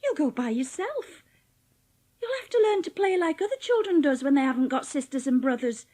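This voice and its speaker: A woman's voice: sits high, sing-song, normal speed, warm tone. A woman playing a cheerful, plain-spoken young housemaid with a Yorkshire accent in a dramatised audiobook. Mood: brisk. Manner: chatty, blunt, homely dialect speech.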